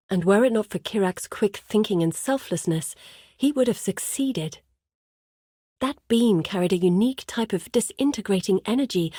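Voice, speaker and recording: An actress playing an earnest, burdened woman explorer in a fantasy video game, speaking in a measured, articulate way. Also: medium pitch, dark tone, husky, no echo, studio quality. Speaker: video game character voice actor. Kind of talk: dramatic fantasy game dialogue.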